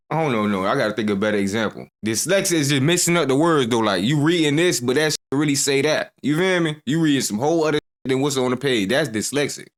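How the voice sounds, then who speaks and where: A man's voice: mid-range voice, fairly bright tone. A man commenting casually on a video he is reacting to, joking in loose slang.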